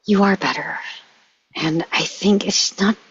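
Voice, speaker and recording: A woman playing a tender, worried young woman who soothes her lover, gentle and reassuring, in a script table read. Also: medium pitch, unhurried, husky. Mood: tender, soothing. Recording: dull, lo-fi audio.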